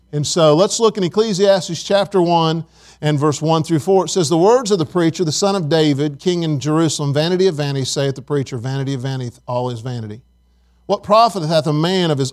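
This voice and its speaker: A man in his fifties preaching a sermon, reading scripture and praying, in an earnest, conversational way with frequent repeated words. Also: a little high. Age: 50s. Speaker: pastor.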